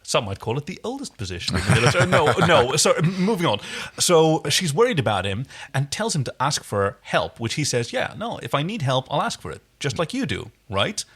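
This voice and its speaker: A man's voice: fairly high pitch, sing-song, a little fast, a bit bright, slightly rough. A man co-hosting a casual TV recap chat, with a jokey, teasing manner. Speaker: podcast co-host.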